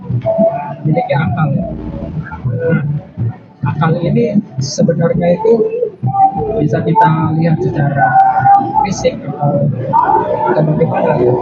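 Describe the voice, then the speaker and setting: A man's voice: a little high, vocal fry. A man from Indonesia in the audience asking a question, hesitant and searching for words. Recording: lo-fi with loud music behind.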